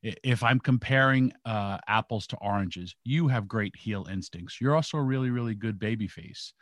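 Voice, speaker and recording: Monotone male co-host, dry sound. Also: fairly low pitch, moderate pace, dry recording. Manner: stammering restarts, earnest. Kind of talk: casual sports talk.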